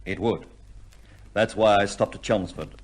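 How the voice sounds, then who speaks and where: An actor playing a shrewd, fatherly upper-class gentleman investigator in an old-time radio drama, with a commanding, wry, questioning manner. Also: lowish voice, a little slow. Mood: wry, triumphant.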